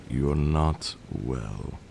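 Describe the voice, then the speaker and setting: Man playing a very deep, gravelly vampire lord. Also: animated, very slow, roomy sound, background noise, full character voice. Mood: firm, concerned. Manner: stern, formal, scolding. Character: haughty but caring male vampire lord. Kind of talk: ASMR roleplay.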